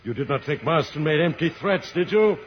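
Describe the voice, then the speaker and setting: A man plays a volatile, gloating space tyrant in a vintage radio drama. His delivery is theatrical and menacing, swinging widely in pitch. The recording is muffled and heavily hissy. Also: expressive, brisk, lightly acted. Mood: gloating menace. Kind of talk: old-time radio serial acting.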